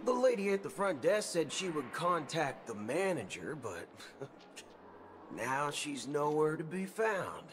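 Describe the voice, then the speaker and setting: An actor playing a brash, sly cowboy gunslinger in a video game, with a theatrical, folksy, swaggering manner. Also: male voice, fairly high pitch, big pitch swings, a little slow, slight background noise. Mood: indignant. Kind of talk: video game character voice acting. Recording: background music under dialogue.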